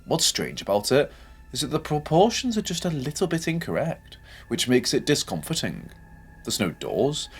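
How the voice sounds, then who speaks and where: A man delivers scripted video essay narration about horror games. His voice sits at an average pitch and moves around expressively, with a dramatic storyteller's delivery. Music plays behind him. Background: background music.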